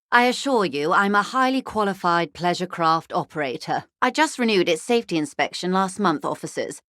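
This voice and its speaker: An actress playing a wealthy, poised company negotiator in a video game, speaking in polite, measured, formal phrases with dry irony. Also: female voice, fairly low pitch, no room sound, close to an everyday voice. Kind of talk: scripted game character dialogue.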